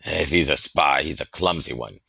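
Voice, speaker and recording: Guy doing a big gruff storybook fella, blustery and bossy but fond, deep voice, super dry sound. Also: moderate pace, bright, forceful tone, dry recording, heavily acted. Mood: dismissive. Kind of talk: storybook character voice in audiobook reading.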